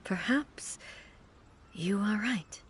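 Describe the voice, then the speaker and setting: A woman's voice: mid-range voice, background noise. An actress playing a composed, stern female shogun in a video game, speaking formally and with dignity. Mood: gentle. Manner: formal, measured wording. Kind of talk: video game character acting.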